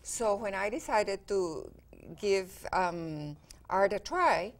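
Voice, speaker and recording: A woman from Costa Rica, a guest artist, answering interview questions in a calm, articulate way. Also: medium pitch, slow.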